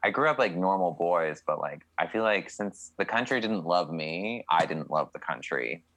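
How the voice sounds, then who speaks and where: A man's voice: sits low, expressive, normal speed, a bit bright, no room sound. A young man from Canada, a country singer, answering interview questions in a chatty, candid way with many fillers.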